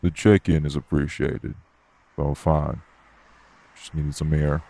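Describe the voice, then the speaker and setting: A man acts out a guarded worker on his break in an audio roleplay. His voice is extremely deep, with clear noise behind it. He answers in clipped, curt and stiffly polite phrases. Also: little pitch movement, slow, dark tone, exaggerated voice. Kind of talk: audio roleplay acting addressed to the listener.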